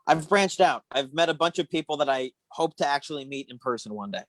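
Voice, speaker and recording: A woman's voice: low-pitched, animated, a little fast, dry recording. A woman comedian chatting casually as a guest, with a wry, self-deprecating way of talking. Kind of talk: casual chat.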